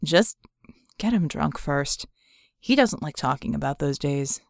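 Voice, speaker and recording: A woman voicing a cynical, haunted male metahuman ex-thief in an audiobook, telling his past in a wry, measured way. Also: sits low, lively intonation, creaky, a little mellow, dry recording, studio quality, voice pushed down, heavily acted. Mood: guarded, sardonic.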